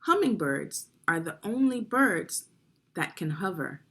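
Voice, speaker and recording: A woman's voice: on the low side, big pitch swings, unhurried, fairly bright tone, slightly rough. A woman reading children's nonfiction aloud as a teacher, instructive and putting guiding questions to young listeners. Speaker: read-along narrator and reading teacher.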